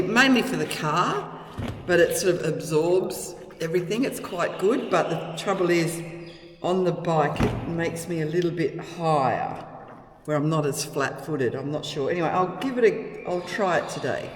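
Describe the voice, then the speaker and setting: A woman's voice: lowish voice, normal speed, faint music behind. A middle-aged woman with an Australian accent chats candidly and casually in a rambling, conversational vlog style. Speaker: motorcycle vlogger. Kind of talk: casual vlog chat to camera. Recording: loud wind, engine and road noise.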